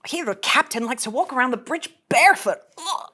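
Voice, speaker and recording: A woman plays a cocky young female ensign in a sci-fi comedy read. Her voice sits fairly high and moves around a lot. She teases with sarcastic, slangy bite. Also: lively intonation, fairly quick, pushed and bright, slightly rough, heavily acted. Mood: disgusted griping. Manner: sardonic, slangy, teasing. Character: brash, sarcastic young female starship ensign, teasing sidekick. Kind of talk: table read of comic sci-fi game script.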